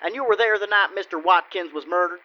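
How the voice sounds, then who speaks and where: An actor playing a smug, folksy country lawyer in a comic radio play, with theatrical courtroom patter. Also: male voice, sits high, fast, muffled recording, close to an everyday voice. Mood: showboating. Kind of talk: comedic old-time radio play acting.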